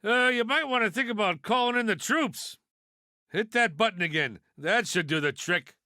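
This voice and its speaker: A man's voice: high-pitched, lively intonation, normal speed, pushed and bright, dry recording, clean studio sound, full character voice. A man voicing a wisecracking toy piggy bank in a video game, a brash know-it-all with a sardonic, folksy delivery. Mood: urgent, alert. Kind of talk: video game character voice lines.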